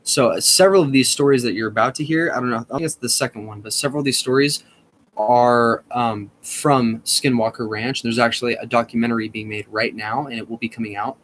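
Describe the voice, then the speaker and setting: A man hosting a casual podcast, talking in a rambling, excitable way with lots of swearing. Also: medium pitch, a little fast, a little hiss or hum. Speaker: podcast host.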